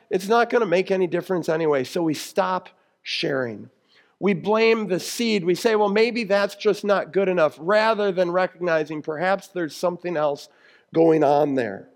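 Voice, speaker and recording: A man with a notably high-pitched voice gives a conversational sermon. He speaks as a pastor who teaches step by step, keeping his explanations plain-spoken and grounded in everyday examples. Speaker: pastor preaching.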